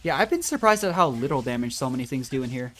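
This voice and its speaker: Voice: medium pitch, expressive, quick pace. A game streamer thinking aloud in casual, musing commentary while playing. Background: faint game music.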